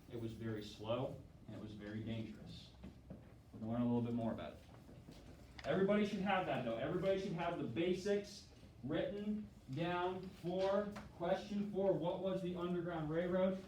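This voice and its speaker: A man teaching a classroom lesson, energetic, prompting students and acting out how things worked. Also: fairly high pitch, distant mic. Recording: very echoey classroom from afar, noisy.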